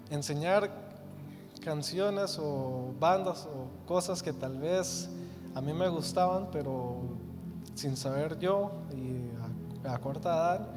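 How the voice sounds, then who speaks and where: A young man in his twenties with a Costa Rican accent, telling his testimony in church, hesitant with many fillers and restarts. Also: mid-range voice. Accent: Costa Rican Spanish. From Costa Rica. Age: 20s. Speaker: guest giving testimony. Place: church hall. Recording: reverberant church hall. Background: soft guitar music.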